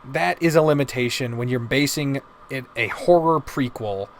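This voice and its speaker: A man's voice: mid-range voice, normal speed. Sweary, rambling man over background noise. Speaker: video host reviewing visual novels. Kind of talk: casual opinionated commentary.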